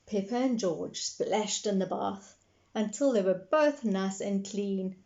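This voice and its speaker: A woman reading a children's story aloud in an expressive style, addressing her young listeners directly. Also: medium pitch, slow, highs cut off.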